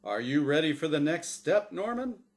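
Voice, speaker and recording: A man's voice: very animated, moderate pace. A man voicing a gleeful, smugly cheerful angel game-show host with a big announcer delivery, in a story reading. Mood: showy, excited. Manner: booming, exaggerated announcer delivery.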